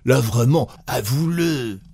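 Man acting an excitable, insistent husband, swooping pitch. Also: slow pace, creaky, breathy edge, no echo, studio quality, full character voice. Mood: gleeful, coaxing. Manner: insistent, repeating himself. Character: jovial, insistent husband turning jealous and furious.

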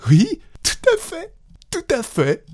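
A man voicing a teasing, nervous wife who answers in a stifled, halting way in a storybook reading. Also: very high voice, huge pitch swings, darkish tone, pitched above the performer's own voice, heavily acted. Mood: stifled giggling. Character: giggly, teasing wife turning nervous and irritated.

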